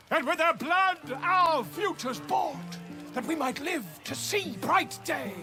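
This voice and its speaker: A man's voice: very high voice, exaggerated voice. A man playing a flamboyant theatrical bard in a video game, declaiming rhyming verse in a grandiose, dramatic manner. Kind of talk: declaimed rhyming poetry performance.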